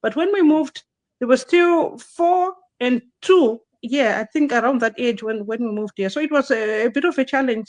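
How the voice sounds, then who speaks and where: Woman host, higher voice, dry close sound, casual restart-filled live interview talk. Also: no room sound. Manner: chatty, frequent restarts and fillers. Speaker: host, digital business consultant.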